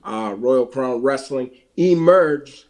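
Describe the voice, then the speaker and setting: A man's voice: medium pitch, lively intonation, takes it slowly, a little mellow. A man with a Puerto Rican accent co-hosting a wrestling podcast, plugging upcoming shows with dates and web addresses in a casual chat broken up by fillers. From Puerto Rico.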